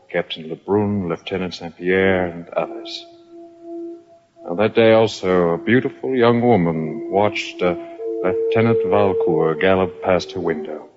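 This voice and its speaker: A man playing a solemn monk who looks back on his soldier past, in measured, reflective radio drama narration. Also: low-pitched. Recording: dull radio recording under music.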